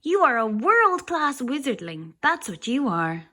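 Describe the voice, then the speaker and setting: A woman's voice: sits high, very animated, measured pace, bright, forceful tone, voice pushed up, full character voice. A woman reading a children's story, voicing a chirpy small fairy-tale creature that talks in a trilling, coaxing, cutesy way. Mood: admiring.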